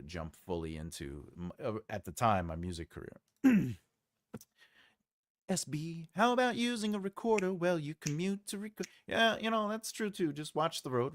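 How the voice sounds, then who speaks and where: A man's voice: on the low side, dry recording, studio quality. A man hosting a writing livestream, chatting casually and jokingly as he reads and answers viewer comments, rambling with lots of fillers.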